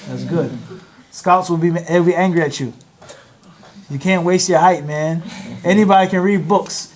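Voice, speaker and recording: A man's voice: a little high, moderate pace. A man teaching a class, chatting with his students in a teasing way and dropping Arabic phrases into his speech. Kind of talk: classroom talk and lecture.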